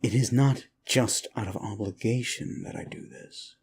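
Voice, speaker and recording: A man playing a demon who grudgingly comforts a human, in an intimate ASMR roleplay with a deliberate, dryly menacing but soothing delivery. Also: fairly low pitch, a little mellow, husky. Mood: soothing, hushed. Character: male demon, sinister soul-eater grudgingly soothing a human.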